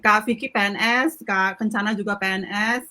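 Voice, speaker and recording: A woman's voice: medium pitch, fairly even intonation, normal speed, bright, forceful tone, a little hiss or hum. A woman hosting an online group chat, chatty and friendly, mixing English words into her talk. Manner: friendly, chatty, laughs easily. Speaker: host.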